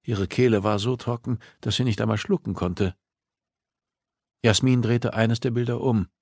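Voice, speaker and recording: A man's voice: mid-range voice, fairly even intonation, moderate pace, a little mellow, husky, dry recording, studio quality. A man reading a suspenseful story as an audiobook narrator, in scripted, dramatic narration.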